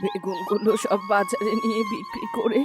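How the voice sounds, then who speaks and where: A woman voicing a cheerful, chatty old village vegetable seller in an animated fairy tale cartoon, with a folksy way of talking. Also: mid-range voice, normal speed, music in the background, lightly acted. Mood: musing, eager. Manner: folksy, talks to herself aloud.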